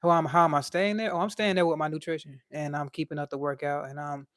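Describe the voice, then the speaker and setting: A man's voice: fairly high pitch, steady tone, fast, a bit bright, no echo, clean studio sound. A young man with a light voice, chatting casually on a livestream and reading out chat in a laid-back, slangy way.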